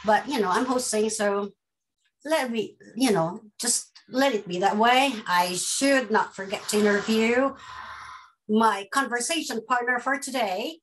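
A woman's voice: mid-range voice, fairly bright tone, slight background noise, poor audio. A middle-aged woman hosting a casual interview on a video call from home, playful and chatty, talking around her points. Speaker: host. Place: home room.

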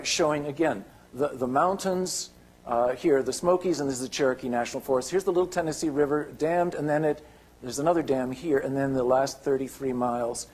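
An older man giving a keynote lecture with slides, halting, with many restarts and fillers. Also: mid-range voice, a little mellow, poor audio. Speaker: keynote speaker. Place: lecture hall.

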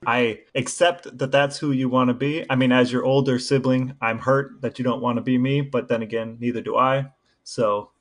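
A man's voice: medium pitch, fairly even intonation, moderate pace. A man co-hosting a casual podcast, bantering and asking questions with dry, joking delivery. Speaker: podcast co-host.

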